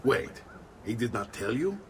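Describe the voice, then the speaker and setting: An actor playing a jovial, blustering middle-aged Italian uncle in a video game, with an Italian accent and a hearty, theatrical manner. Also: male voice, medium pitch, sing-song, unhurried, slight background noise. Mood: earnest. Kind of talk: video game cutscene acting.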